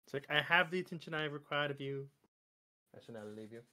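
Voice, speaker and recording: Very low, bright-voiced woman, playful. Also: lively intonation, quick pace. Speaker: stream co-host. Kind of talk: casual chat.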